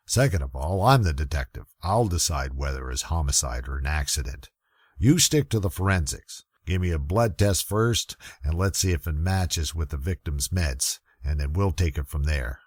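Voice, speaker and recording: Man playing a deep-voiced, bossy police lieutenant. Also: expressive, moderate pace, a little mellow, husky, dry recording, studio quality, heavily acted. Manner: curt, commanding, hard-boiled. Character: gruff, bossy, sardonic veteran male police lieutenant. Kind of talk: old-time radio detective acting.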